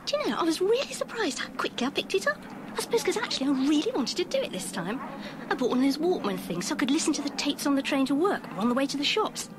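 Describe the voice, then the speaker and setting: Chatty bubbly young british woman, high lively voice, noisy background. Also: quick pace. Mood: amused, chatty. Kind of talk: chatty scripted testimonial. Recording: clear background noise and ambience.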